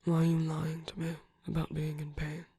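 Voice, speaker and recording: A performer voicing a commanding yet tender, possessive villain in an ASMR roleplay, speaking deliberately in a husky voice that often drops to a whisper. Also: mid-range voice, completely flat delivery, mellow, no room sound. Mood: gentle, probing. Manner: slow, deliberate, coaxing, with long pauses. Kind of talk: ASMR roleplay, scripted intimate monologue.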